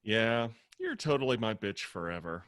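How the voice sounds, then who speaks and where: Man acting gossipy male roommate, twenties, sardonic, teasing, very animated intonation. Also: lowish voice, normal speed. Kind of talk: table read acting.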